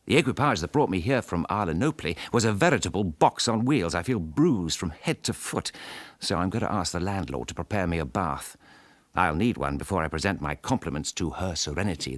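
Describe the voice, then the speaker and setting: A courteous English gentleman in his forties for a radio drama. His voice is fairly low and slightly husky, swinging expressively as he gets earnest and hesitant. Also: no echo. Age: 40s. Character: polite, easily flustered English gentleman traveller of forty-two. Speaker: actor.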